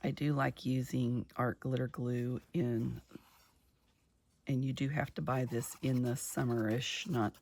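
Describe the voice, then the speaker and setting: A middle-aged woman chatting casually while crafting, her voice a little gravelly from just waking up, rambling warmly from one story to the next. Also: a bass voice, fairly even intonation, measured pace. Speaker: craft vlogger.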